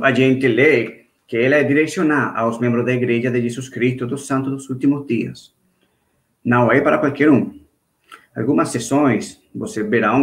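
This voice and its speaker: Venezuelan man teaching with roomy echo. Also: mid-range voice. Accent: Venezuelan Spanish. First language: Spanish. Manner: halting, with frequent restarts and "né?" checks. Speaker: Sunday school teacher. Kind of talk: online church class. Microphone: video call.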